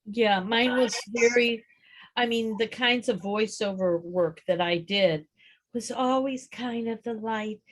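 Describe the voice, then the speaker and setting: A woman with a gravelly voice, a former voiceover actress, musing thoughtfully in a book club chat. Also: on the high side, slow. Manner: thoughtful, searching for words. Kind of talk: casual book and film chat.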